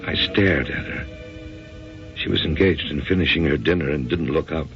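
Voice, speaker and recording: An actor playing a bewildered, conventional middle-aged American husband in a radio drama, with a gravelly, hesitant delivery. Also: male voice, rumbling low, over loud music. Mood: uneasy narration. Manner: hesitant, halting. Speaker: actor and first-person narrator. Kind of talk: old-time radio drama acting. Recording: dull, muffled radio drama recording.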